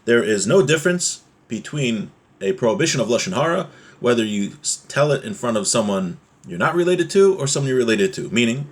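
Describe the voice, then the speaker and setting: A man's voice: mid-range voice, breathy edge. A man teaching a religious text, reading passages aloud and explaining them in an informal, plain-spoken way.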